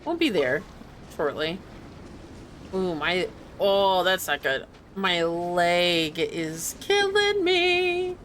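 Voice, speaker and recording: A woman's voice: fairly low pitch, big pitch swings, drawn-out words, a bit bright, a little hiss or hum. A woman giving casual gameplay commentary as a streamer, rambling and muttering to herself. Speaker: game streamer.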